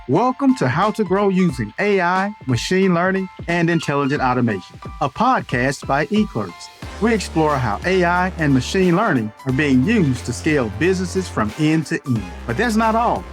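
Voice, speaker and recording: A man's voice: on the high side, big pitch swings, moderate pace, hardly any pauses, faint music behind. A man hosting a business podcast, reading an upbeat scripted intro, then asking interview questions. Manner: upbeat announcer delivery in the intro. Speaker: podcast host.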